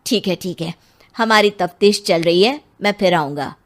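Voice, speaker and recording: A woman's voice: lowish voice, normal speed, lightly acted. A woman voicing a dutiful male police inspector in a cartoon, asking earnest, polite questions. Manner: earnest, polite questioning, addressing others as sir. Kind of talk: Hindi cartoon voice acting.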